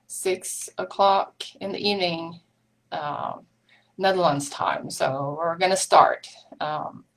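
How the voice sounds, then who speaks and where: Slow-talking Swedish woman, relaxed chat. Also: on the low side. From Sweden. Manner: chatty, many ums and uhs, small laughs. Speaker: dietitian hosting a live online meetup. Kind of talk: casual live-stream chat.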